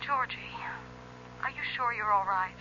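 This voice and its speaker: An actress playing a bossy, teasing, impatient wife on the telephone in a radio drama. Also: female voice, on the high side, slow pace, sharp tone, heavily acted. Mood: exasperated, teasing. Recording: muffled phone line, noisy old radio.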